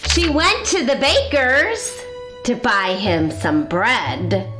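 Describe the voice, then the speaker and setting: A middle-aged woman reading a picture book aloud to young children, expressive and deliberate, as a storytime host. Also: fairly high pitch, echoey room, music in the background. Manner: expressive, deliberate, explains words for young listeners. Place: small indoor classroom-style set.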